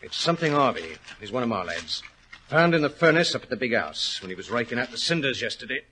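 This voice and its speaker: An actor playing a plain-spoken horse trainer in a 1940s radio drama, on a noisy vintage recording. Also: male voice, medium pitch, very animated, fast, sharp tone. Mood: earnest, worried. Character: gruff, bold English head horse trainer, respectful to gentlemen.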